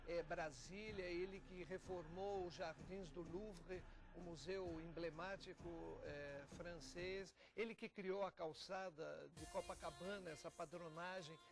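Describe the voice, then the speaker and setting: A Brazilian man from a theatre company giving an explanatory TV interview, often pausing and repeating words as he goes. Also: sits high, steady tone, gravelly, over music. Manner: explanatory, with frequent pauses and repeats. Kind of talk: TV interview talk.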